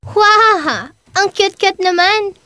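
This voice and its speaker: Woman as a very high-pitched campy teasing friend. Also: expressive, slow pace, pushed and bright, no echo, clean studio sound, full character voice. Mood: teasing, gleeful. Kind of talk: amateur radio drama acting.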